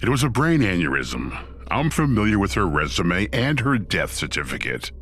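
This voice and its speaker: An actor playing a smug, flippant Satan in an audio drama, glib and slangy like a scheming boss. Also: male voice, lowish voice, very animated, normal speed, fairly bright tone, a little hiss or hum. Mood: smug, dismissive.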